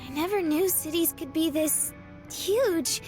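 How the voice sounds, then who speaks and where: Very high-pitched, sweet sheltered young woman. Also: unhurried. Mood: awed. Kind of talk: visual novel voice acting. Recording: music playing behind.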